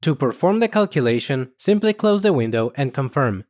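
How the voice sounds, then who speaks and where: A man reading scripted instructional narration in a measured, explanatory manner. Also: mid-range voice, big pitch swings, darkish tone. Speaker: software tutorial narrator.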